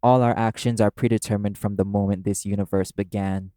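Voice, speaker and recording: A man's voice: on the low side, flat delivery, brisk, warm tone, no room sound, studio quality, lightly acted. A man playing a brash, cynical male demon who speaks bluntly and scornfully in an audio roleplay. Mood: scornful, cold. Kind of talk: dramatic audio roleplay addressed to the listener.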